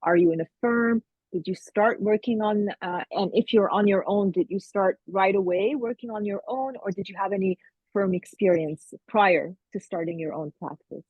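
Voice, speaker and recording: Husky woman host on a tinny call. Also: mid-range voice, no room sound. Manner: warm, halting questions with many fillers. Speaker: interview host. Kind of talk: casual interview. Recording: tinny phone-like remote call.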